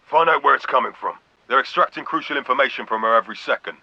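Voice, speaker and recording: Casting: authoritative middle-aged fleet commander for a sci-fi shooter game; clipped, formal delivery, slightly distant echoey sound. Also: male voice, mid-range voice, fast, gravelly, sharp tone, muffled recording. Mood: urgent, demanding. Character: stern, authoritative male military vice admiral commanding troops. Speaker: military commander. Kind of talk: video game military briefing voice acting.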